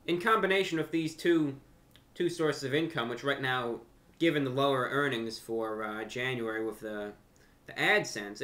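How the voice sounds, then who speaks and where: A young adult man with an American accent speaks casually to his viewers as the host of his own channel. His voice is bright and forceful, sitting at an ordinary male pitch. He is earnest and conversational, often restating a phrase to drive it home. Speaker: host of a video channel and radio show. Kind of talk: casual monologue to camera. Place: furnished room at home.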